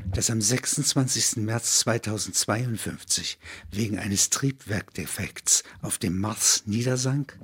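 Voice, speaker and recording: Male narrator, mid-pitched voice, matter-of-fact literary reading, clear background noise, clicks. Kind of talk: scripted literary narration in an audio drama.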